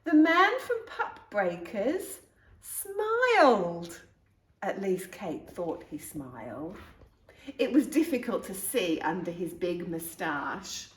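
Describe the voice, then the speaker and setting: Woman reading slowly and very expressively, roomy sound. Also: mid-range voice. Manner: animated, exclaiming, asks the listeners questions. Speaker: storytime reader. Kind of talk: expressive read-aloud of a children's picture book with asides to young listeners. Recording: roomy, echoing room sound.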